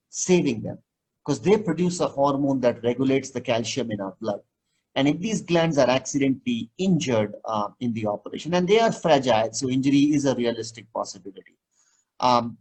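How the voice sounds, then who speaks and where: Husky-voiced man explaining surgery calmly. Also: medium pitch, low-quality recording. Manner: patient, explains with plain comparisons. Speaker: surgeon guest. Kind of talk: explanatory interview talk.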